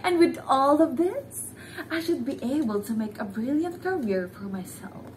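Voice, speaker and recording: A woman's voice: sits high, expressive, normal speed, distant mic, a little hiss or hum. A young woman playing a shrewd, restless, debt-ridden woman scholar in a stage play, theatrical and musing. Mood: scheming, musing. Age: young adult. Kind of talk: amateur stage play acting. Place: indoor living-room stage set. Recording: echoey stage from afar, music behind.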